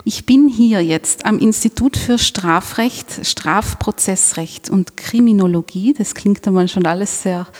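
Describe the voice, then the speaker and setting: A woman's voice: medium pitch, measured pace, few pauses, a little mellow, big-room sound. A woman hosting a university podcast, introducing her guest with a few fillers. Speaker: podcast host. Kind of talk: interview hosting.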